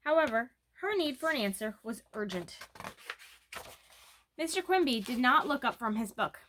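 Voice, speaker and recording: Bright-voiced woman reading a children's story. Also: a little high, lively intonation, normal speed, a little hiss or hum. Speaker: read-aloud narrator. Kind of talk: reading a children's chapter book aloud, expressive storytelling.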